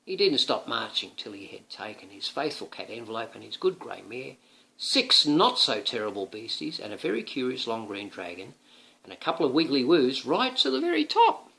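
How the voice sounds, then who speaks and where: Animated man reading a storybook aloud. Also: mid-range voice, moderate pace, vocal fry, breathy edge, rough recording. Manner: expressive, coaxing asides to a child. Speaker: father reading to his young son.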